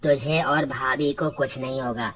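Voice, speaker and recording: A woman's voice: rumbling low, fairly even intonation, measured pace, slight background noise, pitched below the performer's own voice. A woman voicing a shifty, boastful village man in a cartoon, with a smug manner. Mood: smug, jovial.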